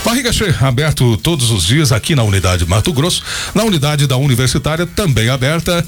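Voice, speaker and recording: A man's voice: mid-range voice, big pitch swings, brisk. A Brazilian man hosting a radio show, chatting colloquially, telling anecdotes and reading ads live. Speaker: radio host. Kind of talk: casual chat with live ad reads. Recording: radio talk over background music. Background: music.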